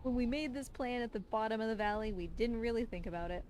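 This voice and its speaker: A woman's voice: on the high side, fast, darkish tone, a little hiss or hum. A woman giving chatty, playful, casual commentary on her own livestreamed speedrun. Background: game music.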